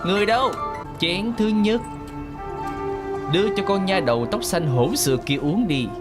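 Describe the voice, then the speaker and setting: Male actor as sly arrogant clan boss, fantasy drama dub, theatrical sneer, highly animated intonation, music underneath. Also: normal speed. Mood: gloating, sneering. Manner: theatrical, menacing, sneering, gloating. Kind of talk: Vietnamese-style fantasy drama dubbing. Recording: voice over background music.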